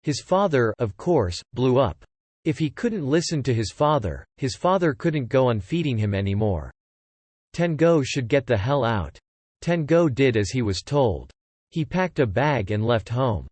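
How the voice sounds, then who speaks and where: A man narrates a literary audiobook drama at a middle pitch, telling the story as scripted third-person narration. The recording is dry and close, with very little room sound. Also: a little mellow, dry recording.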